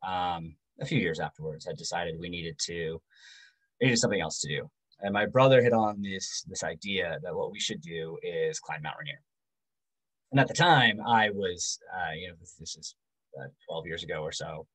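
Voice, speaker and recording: A man in his forties giving a casual book talk over a video call, halting with many ums and restarts. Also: low-pitched, low-quality recording. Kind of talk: casual presentation. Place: home room.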